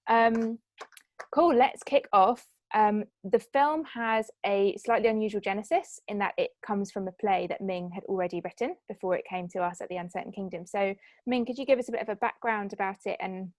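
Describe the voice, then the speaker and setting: A woman with a mid-pitched voice moderates a Q&A. She is informal and easygoing, and her voice sounds dry with very little room echo. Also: darkish tone. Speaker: Q&A host and moderator. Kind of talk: casual panel moderating.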